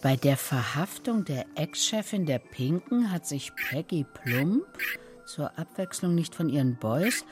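An actress playing a lively old grandmother in a children's radio play, teasing, emphatic and affectionate. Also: low-pitched, a little slow, faint music behind. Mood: amused.

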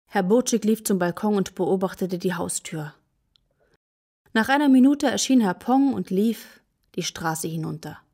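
A woman as the calm narrator of a children's radio play, reading scripted story passages. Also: medium pitch, unhurried.

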